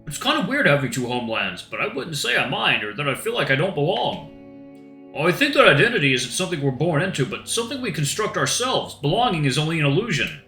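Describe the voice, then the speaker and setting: A man's voice: fairly high pitch, very animated, fairly quick, bright, forceful tone, voice pushed up, heavily acted. A man voicing a friendly young male camp-goer who talks in a chatty, easygoing way. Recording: roomy echo with faint guitar music.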